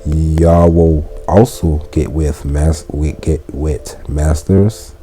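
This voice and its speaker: Very deep, slow-talking man. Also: flat delivery, dark tone, faint music behind. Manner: slow, stops to correct and gloss words. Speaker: Latin tutor. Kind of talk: reading and translating a Latin textbook.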